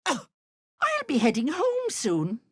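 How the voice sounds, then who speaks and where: A woman's voice: sits high, huge pitch swings, takes it slowly, no echo, studio quality, heavily acted. An actress voicing a kindly old Irish village woman who fusses and calls people love. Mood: cozy, motherly. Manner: folksy and fussing, calling people love. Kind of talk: video game voice acting.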